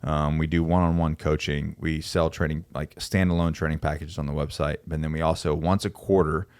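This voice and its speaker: A man in his thirties hosting a casual podcast, talking earnestly and emphatically with many restarts, on a studio boom mic. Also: little pitch movement, fairly quick, darkish tone, dry recording. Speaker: podcast host and coaching company owner. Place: podcast studio, dark backdrop. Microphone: studio mic on boom arm with headphones.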